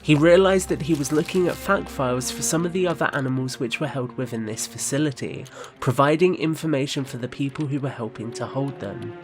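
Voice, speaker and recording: A man's voice: mid-range voice, fairly quick, music in the background. A man narrating an audio drama in a scripted storytelling style. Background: musical score.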